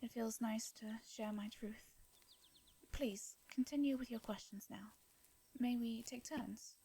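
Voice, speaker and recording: A woman with an English accent playing a gentle, courteous vampire in an intimate fantasy audio drama, speaking softly and breathily in formal, hesitant phrases. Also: fairly high pitch, slight background noise. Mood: earnest, hesitant. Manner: soft breathy delivery, formal old-fashioned diction, hesitant pauses. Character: gentle, courteous female vampire, centuries-worn, wistful yet devious.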